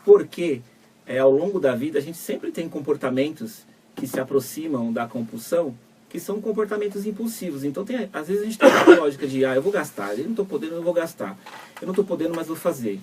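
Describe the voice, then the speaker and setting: A Brazilian man co-hosting a live web radio talk show, asking framing questions in a measured, teasing way. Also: fairly high pitch, lively intonation, distant mic, background noise. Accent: Brazilian Portuguese. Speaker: co-host psychologist.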